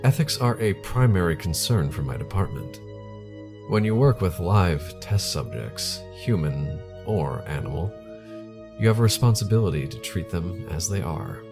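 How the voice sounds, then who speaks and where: Very deep, creaky-voiced grave male scientist. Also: full character voice. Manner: measured, deliberate, formal. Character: grave, measured male scientist heading a bioengineering department. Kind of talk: audio drama acting, interview.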